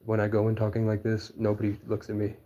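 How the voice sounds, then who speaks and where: A man's voice: fairly low pitch, monotone, fast talker, warm tone, lo-fi sound. A man being interviewed, talking in a rambling way that is full of fillers and restarts. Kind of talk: rambling candid chat.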